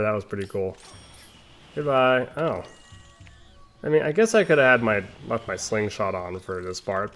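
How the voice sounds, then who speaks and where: A young man casually commenting on his game playthrough as host, rambling through chatty side stories with lots of ums and restarts while calmly guiding the viewer. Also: medium pitch. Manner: relaxed, laid-back guiding of the viewer. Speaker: gaming channel host. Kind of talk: casual let's-play commentary.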